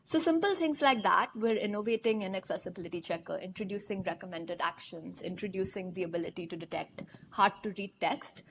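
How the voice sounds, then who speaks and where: A woman's voice: medium pitch, a little hiss or hum. Upbeat woman presenter. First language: Hindi. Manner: brisk, upbeat, asks the audience questions. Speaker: conference presenter. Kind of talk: live product demo talk. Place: large conference hall.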